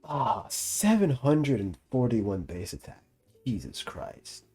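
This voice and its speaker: A man's voice: mid-range voice, big pitch swings, takes it slowly, mellow, husky. A young man giving casual, slangy and joking commentary as he streams a game. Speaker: gaming streamer.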